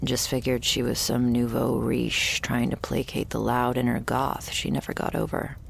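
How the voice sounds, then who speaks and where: A woman playing a jaded, wry, terminally ill shopkeeper who serves a vampire, speaking in an intimate, deadpan ASMR roleplay monologue. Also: a little hiss or hum, heavily acted. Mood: dry, resigned. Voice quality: low, husky, gravelly. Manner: deadpan, sardonic, slow and pausing, swearing casually.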